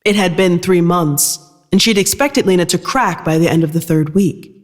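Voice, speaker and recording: A narrator reading scripted audio fiction in a measured storytelling style. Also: medium pitch, big-room sound.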